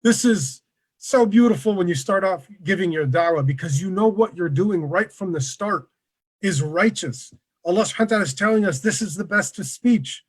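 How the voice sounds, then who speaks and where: A man presenting an online workshop over a video call, relaxed and conversational, with many fillers. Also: high voice, normal speed, breathy edge. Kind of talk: casual online lecture.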